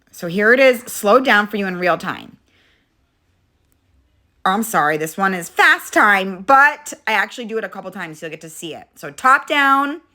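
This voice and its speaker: A young woman hosting a casual craft tutorial, chatty and step-by-step, her voice congested and a little hoarse. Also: medium pitch, sharp tone. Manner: chatty, friendly, step-by-step instructions with frequent "okay?". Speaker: craft channel host.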